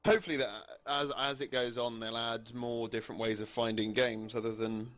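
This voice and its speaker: Man, young, from England, dry humor, relaxed gameplay chat, bright tone. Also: medium pitch, fairly quick. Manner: dry, laid-back jokey. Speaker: gaming channel co-host.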